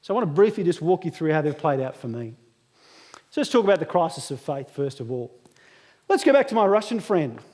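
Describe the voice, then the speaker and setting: A man's voice: on the high side, sing-song, a little fast, a little mellow. A man in his thirties giving a funny, self-deprecating motivational speech at a graduation ceremony. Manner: humorous self-deprecating storytelling. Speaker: guest speaker. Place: large hall at a graduation ceremony. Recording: echoey hall reverb.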